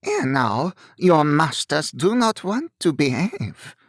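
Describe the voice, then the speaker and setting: A man voicing a brisk French highwayman in an audiobook, bossy and taunting, with a roughened voice and a French accent. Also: wildly swooping pitch, no echo, studio quality, heavily acted. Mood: bossy threat. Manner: commanding, taunting.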